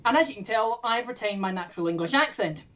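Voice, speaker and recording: A woman hosting a trivia quiz, reading questions in a brisk, playful, self-mocking way. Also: a little high, expressive, lo-fi sound, background noise. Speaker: quiz host.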